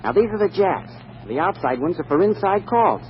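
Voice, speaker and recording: A man's voice: high voice, big pitch swings, brisk. An actor playing an eager, slangy office boy in a 1940s radio comedy, on a muffled, noisy recording. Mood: eager, instructive.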